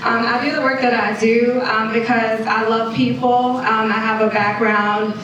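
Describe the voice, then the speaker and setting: A woman's voice: on the high side, little pitch movement, unhurried, fairly bright tone, muffled recording. A woman who manages a community health program, speaking on a panel and explaining steadily with frequent ums. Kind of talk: panel discussion. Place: conference hall. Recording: echoey hall, distant.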